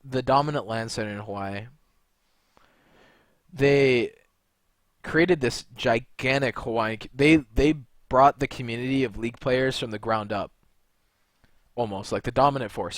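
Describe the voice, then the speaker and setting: Young man, from California, rambling, blunt, mid-pitched voice, dry close recording. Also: no room sound. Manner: rambling and blunt, with many fillers and restarts. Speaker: video creator and gamer. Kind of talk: unscripted monologue to camera.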